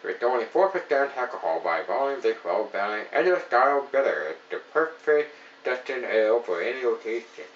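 A man's voice: medium pitch, sing-song, moderate pace, echoey room, distant mic, lo-fi sound, a little hiss or hum. A man in his thirties from Ontario, reviewing a beer at home in a casual, rambling way, full of "you know" fillers. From Ontario, Canada. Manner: rambling, enthusiastic, many fillers. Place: small home room with shelves of beer.

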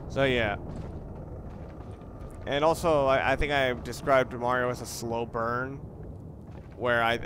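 A man giving casual, reflective gameplay commentary, rambling and halting as he thinks aloud. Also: medium pitch, noisy background. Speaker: let's play commentator.

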